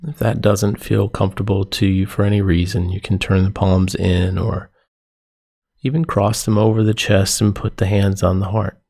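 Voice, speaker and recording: A man leading a guided meditation as a yoga nidra teacher, giving gentle, soothing, unhurried instructions with pauses between phrases. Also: on the low side, flat delivery, vocal fry.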